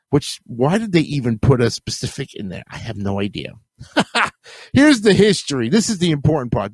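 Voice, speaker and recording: A man's voice: mid-range voice, no echo. Nasal New man with a cold. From Queens, New York. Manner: rambling, chatty, self-correcting. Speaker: podcast host. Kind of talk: casual solo podcast talk.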